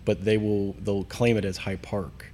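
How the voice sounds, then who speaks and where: A man's voice: lowish voice, level tone, a little slow, dark tone. An American man from the Cincinnati area, talking casually as a guest in an interview. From Cincinnati area, Ohio, United States. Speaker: podcast guest, amateur CrossFit athlete.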